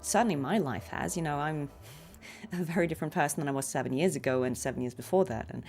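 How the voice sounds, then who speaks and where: A woman's voice: low-pitched, fast, darkish tone, faint music behind. A woman streamer giving casual game commentary in a chatty, reflective, encouraging way. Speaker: streamer and voice actor.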